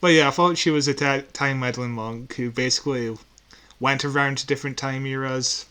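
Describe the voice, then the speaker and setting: A man co-hosting a podcast in casual chat, speaking haltingly and often giggling. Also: medium pitch, fairly even intonation, sharp tone, clean tone. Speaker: podcast co-host. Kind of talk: casual chat reviewing audio dramas.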